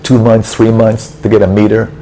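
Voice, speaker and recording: A man's voice: fairly low pitch, normal speed, slight background noise, low-quality recording. A man venting in a casual storytelling rant, acting out quoted back-and-forth with exasperation. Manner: exasperated, animated retelling with quoted dialogue. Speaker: travel vlogger. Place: apartment building in a city.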